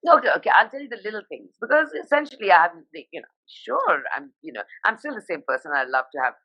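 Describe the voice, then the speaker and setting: A woman's voice: mid-range voice, sing-song, quick pace, breathy edge, muffled recording. A woman from Sikkim, India, whose first language is Nepali, talking animatedly and emphatically as an interview guest over a live video call. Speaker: guest, fashion journalist.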